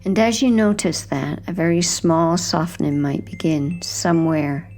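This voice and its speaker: Slow, low-voiced woman guiding sleep hypnosis. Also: soft background music. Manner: gentle, hushed, unhurried, with soft trailing phrase endings. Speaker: hypnosis guide and narrator. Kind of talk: guided sleep hypnosis, soothing scripted narration.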